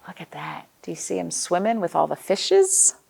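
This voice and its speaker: A woman's voice: lowish voice, big pitch swings, moderate pace, creaky, a little mellow, breathy edge. A woman hosting a storytime for young children as a librarian, speaking expressively and putting questions to her young listeners.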